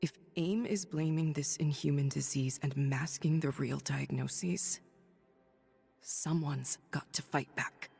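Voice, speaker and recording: An actress playing an ailing, stubborn woman doctor turned hero in a video game drama, speaking in a halting, confiding but resolute way. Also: low voice, a little mellow, big-room sound, faint music behind, exaggerated voice. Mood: weary resolve. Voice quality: husky, breathy, creaky. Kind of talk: dramatic video game acting, audio log monologue.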